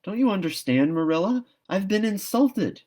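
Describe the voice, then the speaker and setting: A man's voice: high voice, expressive, moderate pace, darkish tone, pitched above the performer's own voice. A solemn little schoolgirl with an iron will voiced by a man, from a storybook. She speaks earnestly and dramatically, with reproach and a somewhat lifted voice. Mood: indignant, firm.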